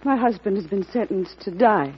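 A woman's voice: mid-range voice, animated, normal speed, muffled recording, a little hiss or hum, natural voice. An actress playing a dignified wife pleading for her condemned husband's life in an old radio drama. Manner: earnest, pleading, restrained. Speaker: petitioner's wife. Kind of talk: 1950s radio drama acting.

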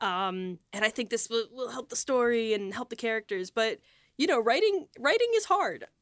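A woman's voice: on the high side, expressive, normal speed, dry recording, studio quality. A woman writer and producer in a casual behind-the-scenes discussion, talking in a reflective, rambling way.

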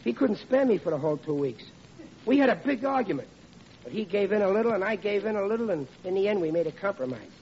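An actor playing a hapless, well-meaning working-class American bridegroom in an old radio comedy, with folksy, ungrammatical, easily flustered speech. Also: male voice, high voice, sing-song, fast talker, a little mellow. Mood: sheepish. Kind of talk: old-time radio situation comedy acting. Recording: muffled, noisy vintage radio recording, audience behind.

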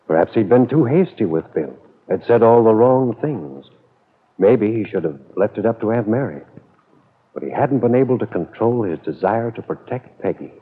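A man's voice: sits low, husky. A man reading scripted narration for an old-time radio drama serial. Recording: dull, narrow vintage radio recording.

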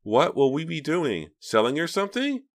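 A man voicing an eager, excitable teenage girl with a breathy little-girl voice, in an audiobook reading. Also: on the high side, moderate pace, voice pushed up. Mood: excited. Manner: excited, gushing. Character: young teen girl, giddy and eager.

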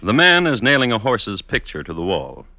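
An actor playing a droll, deadpan connoisseur of crime, narrating an old-time radio show as host in a measured, wry manner. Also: male voice, on the low side, vocal fry, background noise, full character voice. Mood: deadpan, ominous. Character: droll male connoisseur of crime hosting true murder tales. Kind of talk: 1950s radio scripted narration.

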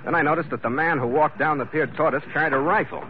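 An actor playing a steady, dry-humored sea captain who also narrates the story in a calm, clipped manner, as in 1950s radio drama. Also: male voice, fairly high pitch, fast talker, close to an everyday voice. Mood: narrating. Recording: dull old radio broadcast with music.

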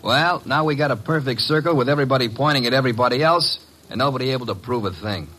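An actor with an American accent playing a wisecracking, impatient detective's assistant in an old radio drama, slangy, brisk and sardonic. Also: male voice, mid-range voice, bright, forceful tone. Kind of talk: old-time radio detective acting and narration. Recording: faintly noisy old radio recording.

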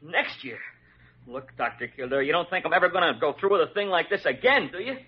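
An actor playing an anxious, naive, folksy young family man in an old radio drama, talking in a hurried, fretting way. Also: on the high side, expressive, husky. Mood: relieved, indignant. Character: anxious, dim-witted, folksy new father. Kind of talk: 1940s radio comedy-drama acting. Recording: muffled vintage radio recording.

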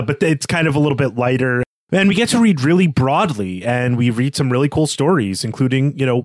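A man's voice: mid-range voice, no echo, clean studio sound. A man co-hosting a podcast, talking in an informal, conversational way. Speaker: podcast co-host. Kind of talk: casual podcast chat.

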